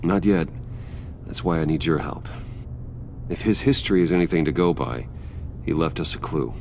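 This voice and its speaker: An actor playing a guarded, courteous wealthy businessman in a video game, gravelly and measured in delivery. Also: a little mellow, slight background noise. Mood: calm, serious. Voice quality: very deep, gravelly.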